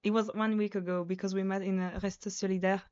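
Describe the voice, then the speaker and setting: A woman's voice: medium pitch, level tone, quick pace, a little mellow, smooth, no room sound, muffled recording. A woman in her twenties from Sardinia with an Italian accent, chatting as a podcast guest and sometimes searching for the English word. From Sardinia, Italy. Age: 20s. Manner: chatty, searches for English words, slips into French. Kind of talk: casual podcast chat. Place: student residence room.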